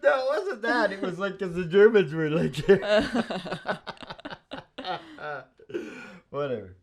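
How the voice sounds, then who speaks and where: Older man, animated folksy storyteller. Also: high voice, drawn-out words. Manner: rambling, folksy, jokey, laughs often. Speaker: musician hosting a live music show. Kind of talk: casual chat and storytelling between songs. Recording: live acoustic guitar playing alongside. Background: acoustic guitar.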